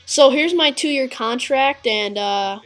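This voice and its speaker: A woman giving casual, halting gameplay commentary with a congested, sniffly voice and many filler pauses. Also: sits high, fairly bright tone. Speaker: gaming video creator.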